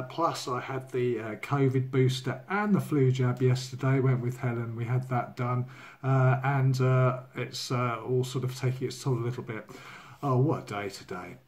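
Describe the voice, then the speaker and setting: Hoarse man in his sixties, candid home video diary, loose and rambling, camera mic. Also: mid-range voice, a little mellow. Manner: candid, rambling, many fillers. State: hoarse from a cold. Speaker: vlogger. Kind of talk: casual personal video diary. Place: living room at home. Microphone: laptop or phone camera at desk.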